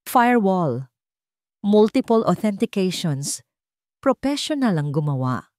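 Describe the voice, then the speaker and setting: A woman's voice: mid-range voice, very animated, measured pace, a little mellow, no echo, clean studio sound. A woman voicing an assured, intent hacker explaining findings in a dramatic audio story.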